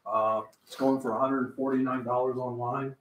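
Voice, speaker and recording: A man's voice: mid-range voice, little pitch movement, normal speed, lo-fi sound, crowd noise. A man chatting at a card break table, making offhand wisecracks and side remarks. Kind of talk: casual group chat. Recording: roomy, a little far from the mic.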